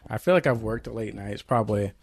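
A man's voice: on the low side, fairly quick, vocal fry, husky, dry recording. A man co-hosting a podcast in an easygoing casual chat, sounding a little weary. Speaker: podcast co-host.

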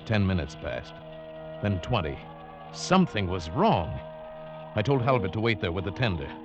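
Determined lovestruck sailor, low pitch, dramatic earnest narration, vintage radio theater, dull lo-fi sound. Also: male voice, big pitch swings, normal speed. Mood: tense, ominous. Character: tense, lovestruck, determined male sailor narrating his ordeal. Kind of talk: 1940s radio drama acting and first-person narration. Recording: muffled vintage radio recording with music.

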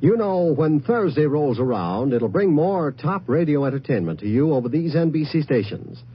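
A man's voice: a little high, big pitch swings, moderate pace, slightly rough. A man announcing programs in a scripted, promotional 1950s network radio style. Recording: muffled vintage radio broadcast.

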